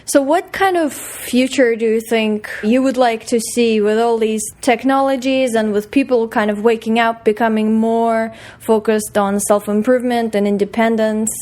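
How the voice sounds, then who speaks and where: A woman's voice: a little high, darkish tone. A woman co-hosting a podcast, asking a long, thoughtful interview question. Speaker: podcast co-host.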